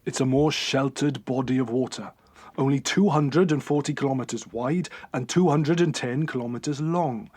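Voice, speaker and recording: A man's voice: mid-range voice, moderate pace, darkish tone, breathy edge, dry recording, natural voice. A man acting an earnest, persuasive parliament delegate in a radio play, speaking formally.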